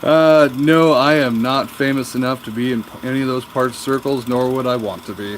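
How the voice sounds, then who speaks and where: A man's voice: mid-range voice, fairly even intonation, moderate pace, slight background noise. Nasal man chatting from his workshop. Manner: rambling and chatty, answers viewer comments. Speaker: woodturner streaming his work. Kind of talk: casual livestream chat. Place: cold home workshop.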